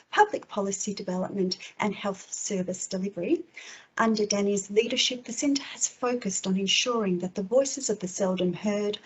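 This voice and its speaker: A woman's voice: medium pitch, slightly rough, poor audio. A woman chairing a symposium session, formally introducing a speaker.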